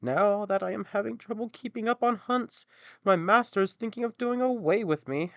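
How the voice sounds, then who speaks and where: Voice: mid-range voice, moderate pace, fairly bright tone, no room sound, lo-fi sound, voice pushed up, natural voice. A man voicing an aging, weary hound dog in a storybook tale, with a plaintive delivery. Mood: weary, woeful.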